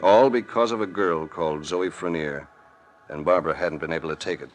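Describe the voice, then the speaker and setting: An actor playing a shrewd, calm, world-weary investigator with an American accent and a cool, deliberate delivery in an old radio drama. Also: male voice, low-pitched, close to an everyday voice. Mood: weary accusing. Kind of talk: 1940s-50s radio drama acting. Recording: vintage radio recording.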